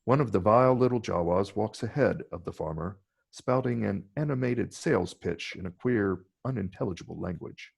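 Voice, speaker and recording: A man's voice: lowish voice, normal speed, vocal fry, slightly rough. A man narrating scripted screenplay stage directions for a table read.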